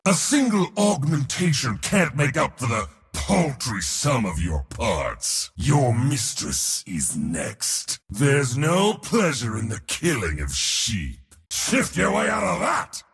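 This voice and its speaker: Raspy, bellowing, boastful war god. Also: male voice, big pitch swings, slow, hardly any pauses, a bit bright, long reverb tail, full character voice. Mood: furious, commanding. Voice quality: raspy, gravelly, powerful. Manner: pompous, theatrical, taunting, swinging between bellows and low sneers. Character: boastful, battle-hungry male god of war, haughty and mocking. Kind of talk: video game hero voice lines.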